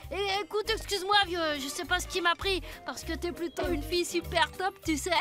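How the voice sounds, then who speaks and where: A woman's voice: very high voice, brisk, fairly bright tone. A woman voicing a cheeky, loyal cartoon schoolboy pal, chummy and slangy. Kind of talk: French animated cartoon dub. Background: music.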